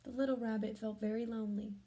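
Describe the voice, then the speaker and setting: A woman reading a storybook aloud to children, adding gentle chatty comments and questions for young listeners. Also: fairly high pitch, fairly even intonation, fast. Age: adult.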